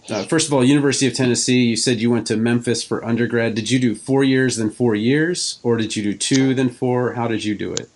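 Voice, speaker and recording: A man's voice: medium pitch, a little mellow. A middle-aged man hosting a casual interview, asking rambling questions that he rephrases as he goes. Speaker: podcast host, interviewer.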